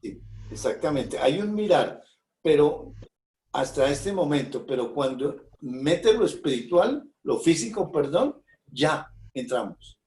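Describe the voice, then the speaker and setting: A man's voice: fairly high pitch, big pitch swings, slow pace, darkish tone. Distant man leading a Bible discussion. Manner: brief, affirming interjections. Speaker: Bible study leader. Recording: roomy, distant from the mic.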